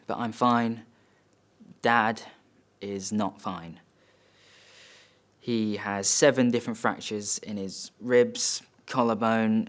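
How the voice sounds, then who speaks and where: A man acting a stage monologue as a wealthy, bitter son, telling of family conflict in short, halting, emphatic phrases. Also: on the low side. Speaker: actor performing a monologue. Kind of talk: dramatic stage monologue, first-person storytelling. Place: studio.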